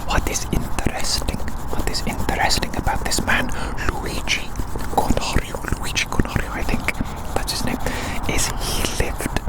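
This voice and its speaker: Unvoiced ASMR whisper, gentle and repetitive. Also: rough recording. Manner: gentle, slow, repeats phrases soothingly. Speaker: ASMR host. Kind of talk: ASMR roleplay, soft rambling. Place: outdoors.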